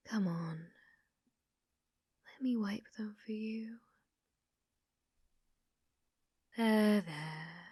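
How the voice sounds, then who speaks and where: Woman performing a delusional stalker girl, British accent, ASMR roleplay, higher-pitched, very slow coaxing delivery, breathy whispers. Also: dark tone, no echo, clean studio sound. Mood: tender, possessive. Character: obsessive, delusional young woman stalker, sweetly possessive.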